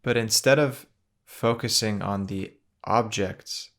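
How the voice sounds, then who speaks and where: Slow, low-voiced man guiding meditation. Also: gravelly. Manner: gentle, measured, with long pauses between phrases. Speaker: meditation guide. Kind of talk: guided meditation.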